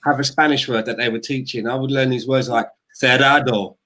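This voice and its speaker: A man's voice: mid-range voice, steady tone, fairly quick, pushed and bright. A man co-hosting a casual chat, telling stories animatedly and laughing mid-sentence. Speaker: podcast co-host.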